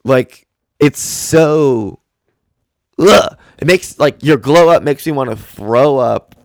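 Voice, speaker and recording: A man's voice: mid-range voice, slow pace, gravelly, no echo, clean studio sound. A man in his twenties hosting a solo podcast, talking casually and rambling with lots of fillers. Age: 20s. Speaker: podcast host.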